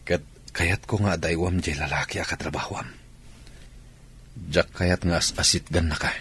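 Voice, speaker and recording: Deep raspy possessive husband. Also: male voice, fairly even intonation, normal speed, a bit bright, exaggerated voice. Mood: insistent. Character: possessive, remorseful husband pleading with his wife. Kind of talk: Filipino radio drama acting.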